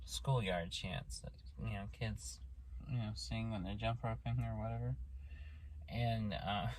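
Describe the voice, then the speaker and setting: A man with an Oklahoma accent speaks in a hoarse, slightly low voice. He rambles casually to his camera, full of ums and restarts. A faint room ambience sits under his voice. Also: slight background noise, poor audio. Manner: rambling, many fillers and restarts. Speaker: vlogger.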